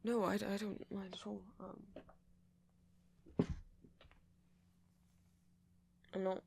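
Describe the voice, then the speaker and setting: A man playing a shy, apologetic young vampire in an ASMR roleplay, with a posh British accent and a hesitant, stammering, soft-spoken way of talking. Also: sits high, completely flat delivery, fairly quick, creaky, slightly rough, exaggerated voice. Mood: apologetic, nervous. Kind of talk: intimate ASMR roleplay audio.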